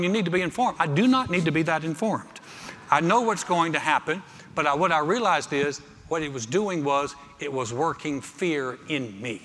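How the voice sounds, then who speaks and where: A man's voice: a little high, a bit bright. A middle-aged man preaching a sermon with personal anecdotes, conversational yet emphatic, repeating phrases for effect. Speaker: pastor. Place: large church auditorium. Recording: reverberant hall, amplified preaching.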